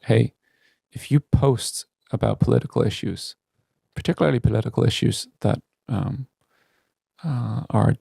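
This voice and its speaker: A man's voice: medium pitch, creaky, mellow, breathy edge, no echo, clean studio sound. A man speaking as a CEO guest in a candid podcast interview, earnest and emphatic about his opinions.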